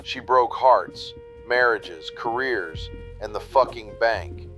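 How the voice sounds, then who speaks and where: A mid-pitched male voice as a jaded veteran wrestler. He sounds bitter and slyly amused, confiding in noir radio drama style over faint guitar music. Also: slow pace, fairly bright tone. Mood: bitter, half amused. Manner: low-key, bitter, insinuating. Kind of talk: noir audio drama monologue.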